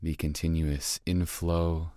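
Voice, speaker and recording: A man leading a guided meditation in a calm, gentle, measured manner with long pauses. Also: extremely low pitch, dry recording, clean studio sound. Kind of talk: guided meditation, slow and soothing instruction.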